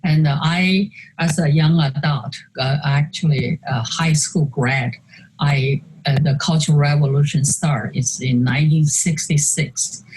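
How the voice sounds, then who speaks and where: An older woman speaks English as her second language, with Chinese as her mother tongue. Her voice sits low, and she talks haltingly, with many fillers and restarts. A faint hiss runs under the video call audio. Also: steady tone, darkish tone, low-quality recording. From China. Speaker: artist. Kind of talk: virtual artist talk presenting slides.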